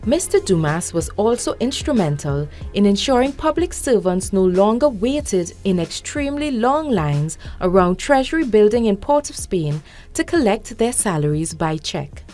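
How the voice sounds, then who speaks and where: A woman reads a scripted documentary narration with a mellow, warm tone and a lively, rising and falling melody. Soft music runs underneath, and her delivery stays polished and even throughout. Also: mid-range voice, normal speed.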